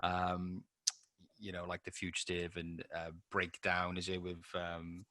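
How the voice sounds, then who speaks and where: A man's voice: low-pitched, monotone, a little slow, sharp tone. A man co-hosting a film review podcast, talking casually and bluntly with informal, sweary phrasing.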